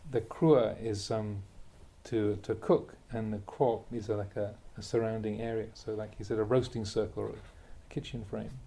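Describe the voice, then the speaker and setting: A man, a Buddhist monk, reads a translated spiritual talk aloud in a measured, emphatic way, posing rhetorical questions. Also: fairly low pitch, fairly even intonation, vocal fry, mellow. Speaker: Buddhist monk reading a teacher's talks aloud. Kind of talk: reading a translated Dharma talk aloud, with brief asides.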